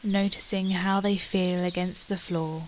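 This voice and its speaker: A woman guides a meditation with a calm, soothing delivery. Her voice sits a little low and she speaks very slowly, leaving long pauses between phrases. Clear birdsong and outdoor nature ambience run behind her. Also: slight background noise.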